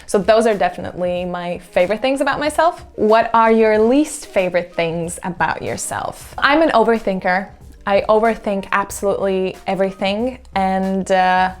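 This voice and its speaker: A woman in her twenties from Latvia, answering get-to-know-me questions in a chatty, reflective way and laughing at herself now and then. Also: medium pitch. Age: 20s. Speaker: YouTuber. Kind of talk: casual get-to-know-me vlog.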